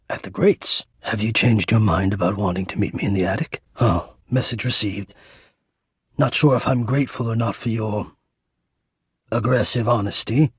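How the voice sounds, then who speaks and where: A man voicing an anxious, melodramatic, yearning male game character who hides away, with florid, halting, theatrical speech. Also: mid-range voice, breathy edge, dry recording, studio quality. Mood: wounded, indignant. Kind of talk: video game character acting.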